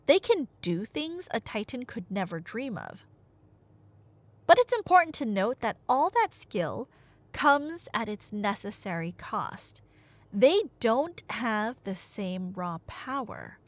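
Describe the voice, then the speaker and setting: A woman's voice: on the high side, sing-song, slow, no echo. A woman playing an enthusiastic nerdy girlfriend in an audio roleplay, telling stories in a casual, emphatic, colloquial ramble. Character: enthusiastic nerdy girlfriend explaining Greek myths.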